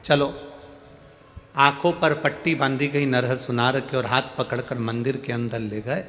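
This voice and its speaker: A male preacher telling a devotional story to a crowd, his voice swinging between loud proclaiming and soft, hushed passages. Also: mid-range voice, steady tone, unhurried. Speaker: katha preacher. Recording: reverberant hall sound with faint music behind.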